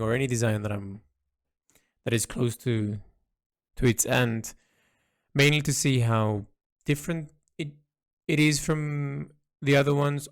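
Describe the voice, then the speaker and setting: A man giving a reflective spoken log as an artist, thinking aloud in halting, thoughtful phrases. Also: medium pitch, no echo, clean studio sound. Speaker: artist narrating a design log.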